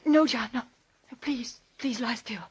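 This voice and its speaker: Radio drama wife: higher-voiced, slightly breathy and rough, anxious, devoted, halting and pleading. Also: female voice, darkish tone. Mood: faint, anxious. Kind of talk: 1940s radio drama acting. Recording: old radio drama recording.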